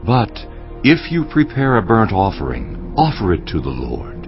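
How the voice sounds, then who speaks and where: An actor playing a solemn, commanding angel of the Lord in dramatized scripture, with slow, stately delivery. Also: male voice, on the low side, wildly swooping pitch, muffled recording. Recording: band-limited sound with music. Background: background music.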